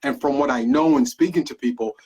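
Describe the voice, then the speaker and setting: Man, interview host, raspy, filler-heavy questioning. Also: medium pitch, a little fast, darkish tone. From Guyana. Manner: hesitant, many fillers and restarts. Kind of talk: casual interview.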